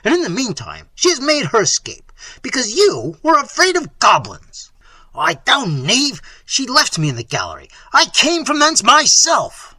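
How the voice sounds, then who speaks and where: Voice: mid-range voice, huge pitch swings, unhurried, bright, forceful tone, slightly rough, no room sound, voice pushed up, full character voice. A narrator voicing an imperious, hot-tempered prince in a storybook, giving commands in impatient, archaic language. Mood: furious, impatient.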